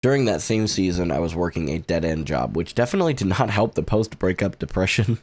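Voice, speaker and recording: Deep-voiced man telling his life story. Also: expressive, fairly quick, a little mellow. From United States. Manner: earnest and reflective, with light self-deprecating humor. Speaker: streamer sharing his testimony. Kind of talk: personal testimony monologue.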